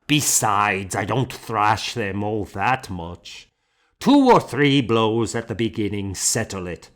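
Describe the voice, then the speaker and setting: A man's voice: fairly low pitch, very animated, takes it slowly, fairly bright tone, full character voice. A man reading an audiobook as a hearty, bluff monk schoolmaster, confident, mocking and blunt. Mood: breezy, self-satisfied. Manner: blunt, emphatic, self-assured. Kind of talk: audiobook character dialogue.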